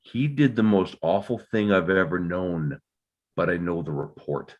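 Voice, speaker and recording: Man acting husky, defensive, guilt-ridden father. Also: deep voice, a little slow, highs cut off. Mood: remorseful. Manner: insistent, defensive, terse. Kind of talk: dramatic script table read.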